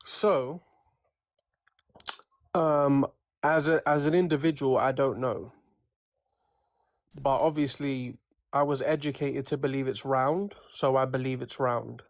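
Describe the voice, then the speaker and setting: A man's voice: medium pitch, fairly even intonation, slow pace, pauses often, no room sound, studio quality. A man calling in as a guest on a livestream debate, answering hesitantly and candidly. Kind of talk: casual conversation.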